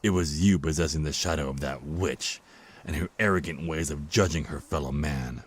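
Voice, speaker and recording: An actor playing a cruel, contemptuous Archangel of Death in an audio drama, with a mocking, theatrical delivery. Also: male voice, extremely low pitch, moderate pace, husky. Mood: bitter disdain. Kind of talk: dramatic villain monologue in audio roleplay.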